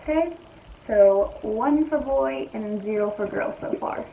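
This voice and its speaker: A young woman chats casually from home, her voice a little high and slightly raspy from hoarseness. She talks in an easygoing, rambling way, thinking aloud as she goes. The recording sounds a bit muffled and lo-fi, with a faint hiss behind her. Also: a little slow, mellow. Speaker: vlogger. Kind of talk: casual chat to camera. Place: at home, indoors.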